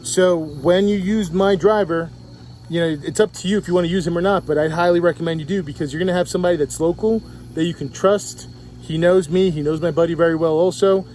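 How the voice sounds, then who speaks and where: A man's voice: fairly high pitch, steady tone, fairly quick, darkish tone, a little hiss or hum. Rambling Puerto Rican man vlogging outdoors. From Puerto Rico. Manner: rambling, restarts and fillers. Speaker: travel vlogger. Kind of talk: casual travel vlog. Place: outdoors at a resort pool. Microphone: handheld camera.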